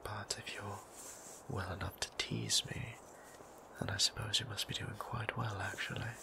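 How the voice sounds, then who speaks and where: Brief: male actor as a tender, old-fashioned vampire priest; low-pitched, unhurried, whispered ASMR roleplay. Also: big pitch swings. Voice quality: soft whisper, gravelly. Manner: formal, old-fashioned phrasing, gently teasing. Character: gentle formal male vampire priest, doting.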